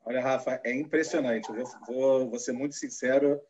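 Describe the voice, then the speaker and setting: A man's voice: medium pitch, steady tone, normal speed, a little mellow, a little hiss or hum. A man hosting a live-streamed interview, talking in a loose, conversational way and asking long, rambling questions. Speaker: host. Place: lives in Israel. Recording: muffled lo-fi stream audio.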